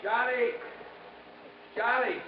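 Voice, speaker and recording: An actor playing an anxious, loyal ex-crook sidekick in a 1950s radio drama, urgent and hesitant. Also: male voice, very high voice, steady tone, slow. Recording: echoey, noisy, dull old radio recording.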